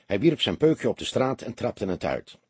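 A male audiobook narrator with a very husky voice reads literary prose. His delivery is expressive, with lively pitch movement, and the recording is close and dry. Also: moderate pace, dry recording.

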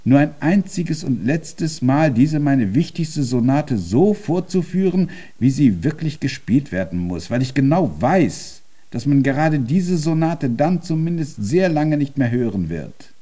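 An actor in a German radio drama playing a stubborn, imperious Viennese composer-pianist, with a formal, deliberate and pompous delivery. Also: male voice, a little high, sing-song, warm tone, breathy edge, full character voice. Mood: solemn, resolute.

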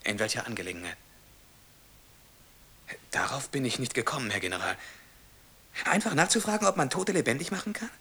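An actor playing a servile, easily flustered state telephone clerk in a radio play, stammering and officious, quick to turn from indignation to obsequiousness. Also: male voice, medium pitch, very animated, sharp tone, slightly rough, exaggerated voice. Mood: deferential, subdued. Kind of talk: German radio play acting.

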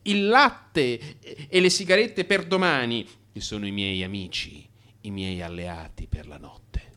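A man's voice: fairly low pitch, expressive, unhurried. A stressed out married man in crisis, radio play monologue, agitated, voice jumps all over. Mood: restless. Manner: agitated, emphatic, swinging from hushed mutter to outburst. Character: anxious married man in crisis confessing to his analyst. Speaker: actor.

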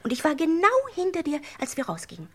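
A woman's voice: high voice, very animated, fast talker, darkish tone. A female performer playing an eager, adoring little girl in a German crime radio play, earnest and childlike. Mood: earnest, secretive.

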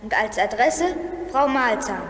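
A woman's voice: fairly high pitch, lively intonation, unhurried. A woman playing a brave, polite young boy in a children's radio play, speaking earnestly and directly. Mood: earnest, pleading. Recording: echoey hall radio play recording.

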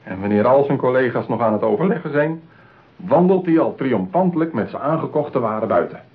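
An actor playing an impulsive, insecure yet self-satisfied man in a radio play, insistent and excitable in manner. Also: medium pitch, brisk, lightly acted. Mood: confiding, boastful. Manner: insistent, excitable, swinging from boast to doubt. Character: impulsive, insecure, self-satisfied male customer seeking a bride. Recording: muffled, narrow-band radio play recording.

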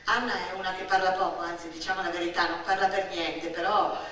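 A middle-aged woman delivering a theatrical storytelling monologue, expressive and emphatic, with dramatic pauses. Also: lowish voice. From Italy. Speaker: stage actress and storyteller. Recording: very echoey, distant mic, faint noise.

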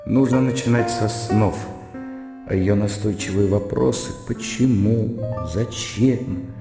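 Brooding gentleman, low and echoing. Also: a little slow. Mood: frustrated, questioning. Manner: reflective, bookish, rhetorical self-questioning. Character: educated, self-justifying young gentleman brooding in inner monologue. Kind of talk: radio drama acting, interior monologue. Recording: reverberant hall echo, music underneath.

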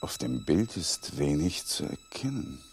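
A brooding, cold married lawyer. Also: male voice, very high voice, huge pitch swings, measured pace, creaky, slight background noise, heavily acted. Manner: quiet, brooding inner voice; curt and firm in dialogue. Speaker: lawyer, lead of a radio play. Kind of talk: German radio drama acting and inner monologue.

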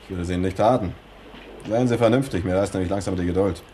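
Actor as low-voiced, menacing, curt thug. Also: male voice, quick pace, warm tone, lightly acted. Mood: impatient menace. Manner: curt, commanding. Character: menacing knife-wielding male thug, curt and impatient. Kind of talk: 1960s German radio crime drama acting. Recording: faintly noisy old 1960s radio recording.

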